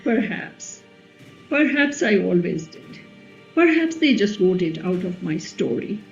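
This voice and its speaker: Woman acting a gentle ancient Indian queen, soft dark tone, unhurried, reflective, radio play, slightly muffled over faint music. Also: mid-range voice, big pitch swings, creaky. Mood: quietly sad. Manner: measured, reflective. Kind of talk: dramatic play reading, radio play. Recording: muffled recording with music behind.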